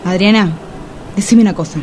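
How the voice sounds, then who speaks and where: A woman's voice: mid-range voice, fairly quick, darkish tone, lightly acted. Lady doing an anxious suspicious argentine woman, nervy, street noise around. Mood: nervous. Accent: Rioplatense Spanish. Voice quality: nervous, suspicious. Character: anxious newly separated woman, Cecilia.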